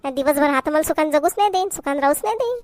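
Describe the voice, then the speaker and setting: A woman's voice: sits high, lively intonation, rapid-fire, no room sound. A woman playing an exasperated, hard-working village wife in a comedy cartoon, complaining sharply in a Varhadi Marathi accent. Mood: fed up. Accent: Varhadi Marathi from Vidarbha. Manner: scolding, complaining, sharp-tongued.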